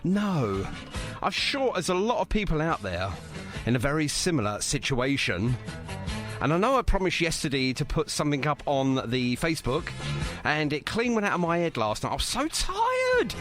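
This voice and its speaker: A man's voice: fairly high pitch, huge pitch swings, normal speed, over music. A man hosting a live radio show in a casual, jokey, rambling way.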